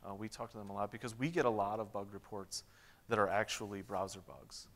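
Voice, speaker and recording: A man in his thirties answering audience questions at a tech conference, relaxed, with lots of "um"s and restarts. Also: on the low side, fairly quick. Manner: relaxed, many fillers and restarts. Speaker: conference keynote speaker. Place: large conference hall stage. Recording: long hall reverb.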